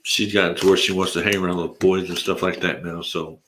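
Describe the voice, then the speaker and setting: A man's voice: sits low, fairly even intonation, fairly quick, fairly bright tone, a little hiss or hum. A congested man hosting a casual live stream, talking in a rambling, laid-back way with lots of fillers. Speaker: livestream host. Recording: roomy echo.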